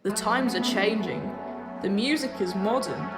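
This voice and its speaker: A woman's voice: mid-range voice, measured pace, natural voice. A woman playing a pantomime narrator, telling the story directly to the audience. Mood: welcoming, storytelling. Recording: distant stage sound, loud music behind.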